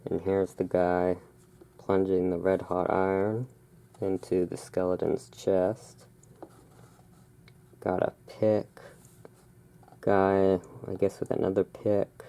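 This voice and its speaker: A host reading aloud in a relaxed ASMR style, with informal, self-deprecating asides and rain and thunder behind. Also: low-pitched, slow pace, long pauses. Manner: informal, self-deprecating, stumbles over foreign words. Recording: clear background noise of rain and thunderstorm. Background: rain and thunderstorm ambience.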